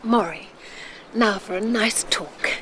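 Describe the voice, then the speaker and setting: A woman's voice: medium pitch, takes it slowly, fairly bright tone, a little hiss or hum. A woman playing a chatty, fussy middle-aged mother in a radio drama.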